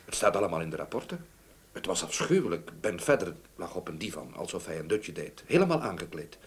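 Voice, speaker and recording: An actor playing a sober, earnest gentleman witness in a radio play, speaking in a measured, factual way. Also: low voice, sing-song. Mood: grave, sombre. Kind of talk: Dutch radio play acting. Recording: slightly noisy old radio drama recording.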